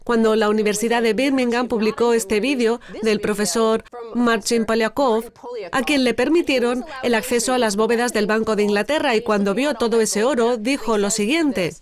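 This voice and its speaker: A woman co-hosting a financial news show, telling news items in a brisk, wry way. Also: a little high. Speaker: co-host. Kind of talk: dubbed financial news commentary.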